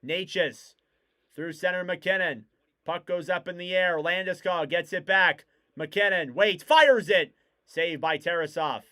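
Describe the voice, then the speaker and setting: Bright-voiced young man calling hockey. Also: sits high, measured pace. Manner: excitable, rising to shouts on goals. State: losing his voice. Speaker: live-stream hockey commentator. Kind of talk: play-by-play commentary.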